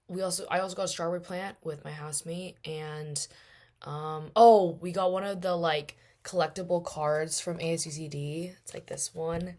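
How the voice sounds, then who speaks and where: A young woman in her twenties with a hoarse, worn-out voice, hosting a casual solo podcast and rambling along with lots of fillers. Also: fairly low pitch, darkish tone. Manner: rambling, chatty, many fillers. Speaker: podcast host.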